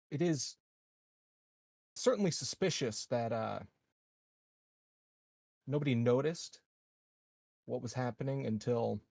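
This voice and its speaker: Cynical space-colony security man, roleplayed by a man, fry-laden voice, mid pitch, blunt and halting, tabletop game. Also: warm tone, no echo. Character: cynical, sardonic male colony security operative. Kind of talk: in-character tabletop roleplay dialogue.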